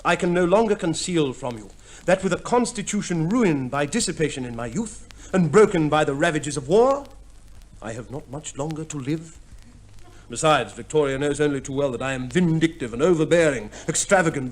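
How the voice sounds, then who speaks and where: Blustering, high-pitched husband. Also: male voice, animated, moderate pace. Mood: mock-noble. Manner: emphatic, pompous, dry retorts. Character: Bill, blustering, sardonic returned soldier husband. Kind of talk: old radio play comedy acting.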